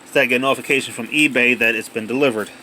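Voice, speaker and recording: A man's voice: medium pitch, steady tone, very fast, bright, forceful tone, a little hiss or hum, poor audio. A man talking casually and off the cuff as he narrates an unboxing video, recorded indoors at home on a handheld cell phone. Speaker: amateur unboxing video maker.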